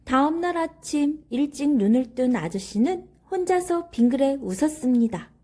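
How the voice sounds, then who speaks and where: A woman's voice: sits high, expressive, normal speed, mellow. A woman narrating a children's story expressively, in a careful, teacherly way.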